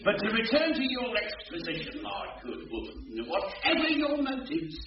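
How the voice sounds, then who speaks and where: Echoey, pompous little gangster. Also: male voice, very high voice, huge pitch swings, normal speed, highs cut off, full character voice. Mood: smoothly menacing. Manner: mock-genteel, wordy, malapropisms. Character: pompous little male gangster boss, politely menacing. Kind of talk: radio sitcom acting. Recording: echoey, distant, noisy old radio recording.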